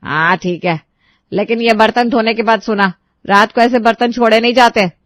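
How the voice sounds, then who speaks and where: A sharp-tongued, nagging mother-in-law, voiced by a woman who bosses her daughter-in-law around with sarcasm. She sits a little high in pitch. The sound is dry and close, with no room around it. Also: steady tone, normal speed, dry recording, natural voice. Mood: bossy. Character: demanding greedy middle-aged mother-in-law, taunting.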